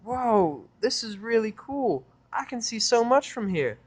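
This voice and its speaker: A man's voice: extremely high pitch, big pitch swings, measured pace, husky, voice pushed up, full character voice. A man voicing a young female cartoon pony, sweet, eager and curious, with a folksy childlike manner. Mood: excited.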